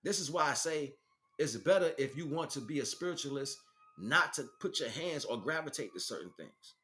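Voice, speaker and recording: A man's voice: a little high, expressive, moderate pace, sharp tone. Man preaching an unscripted spiritual monologue. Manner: emphatic, repeats phrases for stress. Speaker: spiritual teacher, livestream host.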